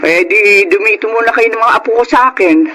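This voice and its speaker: A woman's voice: a little high, brisk, fairly bright tone, a little hiss or hum, natural voice. A woman playing a protective, scolding older Filipino mother and grandmother in a radio drama, emphatic and indignant. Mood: concerned, firm.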